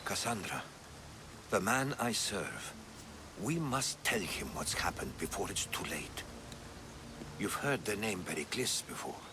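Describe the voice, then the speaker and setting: An actor playing a grave, principled older Greek man, a loyal advisor in a video game cutscene, measured and earnest. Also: low-pitched, big pitch swings, background noise, exaggerated voice. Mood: urgent, earnest.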